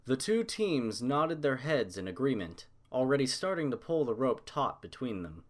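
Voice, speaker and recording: A man's voice: mid-range voice, big pitch swings, normal speed. A man narrating a scripted story as an audiobook reader.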